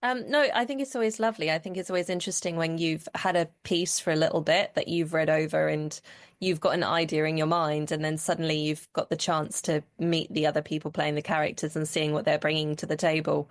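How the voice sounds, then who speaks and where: Crackly, lowish female voice, dry sound. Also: fairly even intonation, brisk, no echo. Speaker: actor, interview guest. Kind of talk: casual, reflective chat.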